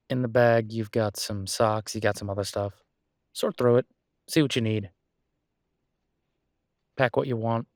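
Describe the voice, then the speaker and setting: Man playing easygoing boyfriend, lowish voice, dry close sound, casual, gently reassuring, intimate roleplay audio. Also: dry recording. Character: caring, easygoing human boyfriend of a werewolf, reassuring. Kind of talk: roleplay audio drama, one-sided intimate conversation.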